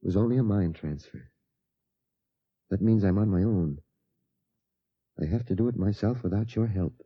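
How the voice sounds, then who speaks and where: A man's voice: lowish voice, normal speed, slightly rough. A man playing an earnest, burdened father in a 1950s radio drama. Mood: grave, resolute. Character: earnest, burdened father determined to save his son. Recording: dull old radio drama recording.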